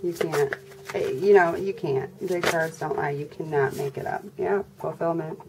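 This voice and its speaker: A congested woman giving a casual tarot reading to her viewers in a flat, monotone way. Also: moderate pace. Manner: monotone, low-energy delivery. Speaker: tarot reader. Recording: noisy recording with birds chirping. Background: birds and background noise.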